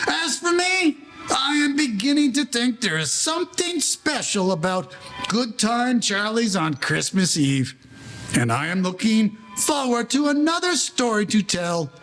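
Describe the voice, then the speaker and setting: High-pitched, animated male storyteller. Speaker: narrator. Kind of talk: scripted storytelling narration for 1940s-style radio play.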